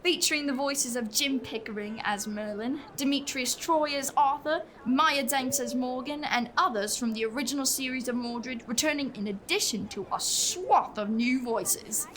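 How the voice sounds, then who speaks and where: A woman playing a bossy, fretful young street pickpocket in a comic audio drama, brisk and scolding. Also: high voice, fairly bright tone, slight background noise. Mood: informative, uneasy.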